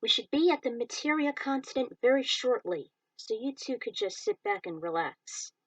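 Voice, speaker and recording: A woman's voice: fairly high pitch, sing-song, moderate pace, a bit bright, dry recording, far from the mic, pitched above the performer's own voice, low-quality recording. A woman voicing a firm, knowing female pilot who explains things sternly to cadets in a story. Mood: firm, instructive. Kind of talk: story character dialogue.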